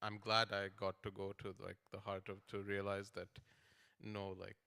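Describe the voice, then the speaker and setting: A young man in casual conversation, speaking haltingly and often pausing to find his words. His voice sits low and clear, with little rise and fall. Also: completely flat delivery, sharp tone. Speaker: writer and translator.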